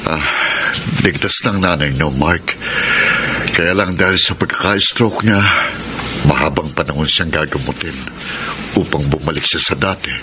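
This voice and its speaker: A man's voice: very deep voice, moderate pace, noisy background. A Filipino man playing a humble, grateful father in a radio drama. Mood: somber, worried. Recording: loud music bed behind.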